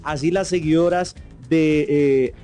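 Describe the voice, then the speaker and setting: A chatty male interviewer speaks in a notably high register. He keeps things casual and repeats his phrases, with faint music in the background. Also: fairly even intonation, slow pace, warm tone. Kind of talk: casual entertainment chat.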